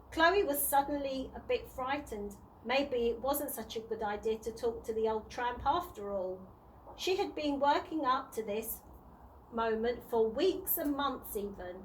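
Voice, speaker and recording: A woman reading a children's storybook aloud in a deliberate way, sometimes stumbling and rereading words. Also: high-pitched, a little hiss or hum, rough recording. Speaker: early years practitioner reading to children.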